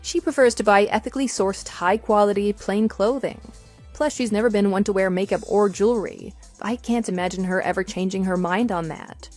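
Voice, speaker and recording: A woman's voice: mid-range voice, normal speed, darkish tone, faint music behind, natural voice. A woman playing a composed, matter-of-fact wife with a dismissive edge in a scripted audio drama. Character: composed, wry wife divorcing her cheating husband.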